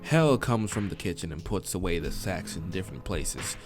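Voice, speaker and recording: A man's voice: sits low, expressive, normal speed. A man narrating a visual novel as a let's player, informal, sometimes stumbling over the text and restarting. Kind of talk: story narration with casual asides. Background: game soundtrack music.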